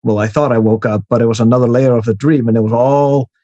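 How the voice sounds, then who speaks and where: A man's voice: mid-range voice, steady tone, fast, dark tone, no room sound, highs cut off. A man chats casually as a podcast guest, telling personal stories in informal language with free swearing. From Iceland. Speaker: recurring podcast guest, horror writer. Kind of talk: casual chat, personal anecdotes.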